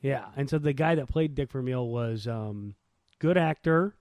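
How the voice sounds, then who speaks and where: A man's voice: medium pitch, a little slow, a little mellow, no room sound. A man co-hosting a podcast, telling things informally and colloquially in casual sports banter. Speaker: podcast co-host.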